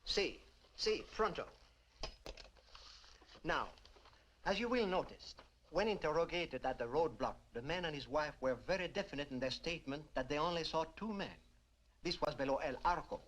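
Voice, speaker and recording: A fairly high-voiced male police investigator from a 1950s film. He is businesslike and formal, choosing his words precisely. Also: a bit bright, poor audio. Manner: precise, formal, measured wording.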